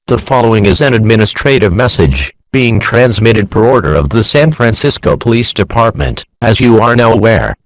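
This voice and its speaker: A man playing a stern emergency broadcast announcer in an audio drama, reading official warnings in a formal, impersonal, measured manner. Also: mid-range voice, no room sound, close to an everyday voice, rough recording.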